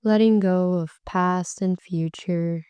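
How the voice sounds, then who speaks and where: Slow, soothing woman guiding meditation. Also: on the low side, steady tone, clear, resonant tone, no room sound. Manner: slow, soft and soothing, drawn-out phrases. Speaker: meditation guide. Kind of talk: guided meditation.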